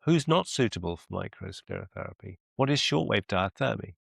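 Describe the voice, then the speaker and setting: Male business mentor, deep voice with gravelly fry, deliberate and encouraging, close dry sound on a coaching call. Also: animated, a bit bright, dry recording. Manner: deliberate, encouraging, repeats phrases for emphasis. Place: video call.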